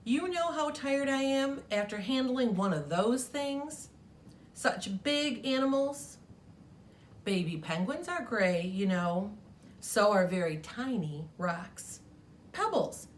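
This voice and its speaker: A woman's voice: fairly high pitch, animated, slow pace, slight background noise. A woman reading as an exhausted gray crayon. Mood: weary. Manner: weary, pleading.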